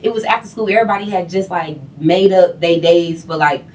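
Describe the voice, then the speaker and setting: Low-voiced woman telling stories animatedly. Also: brisk, far from the mic, slight background noise, low-quality recording. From Boston. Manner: animated storyteller, repeats phrases. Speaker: guest singer and rapper. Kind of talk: casual interview chat.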